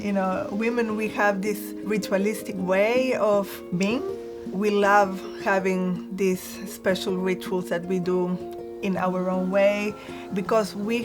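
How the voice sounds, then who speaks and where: A woman from Argentina, a textile artist, speaking earnestly and using many fillers. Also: mid-range voice. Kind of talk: reflective interview. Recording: music bed under voice.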